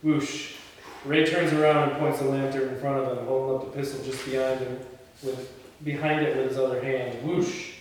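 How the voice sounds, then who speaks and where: A man's voice: mid-range voice, fairly even intonation, moderate pace. A man reading a film script aloud at an informal table read, with blunt, casual remarks to the crew. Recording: echoey room, distant mic, faint noise.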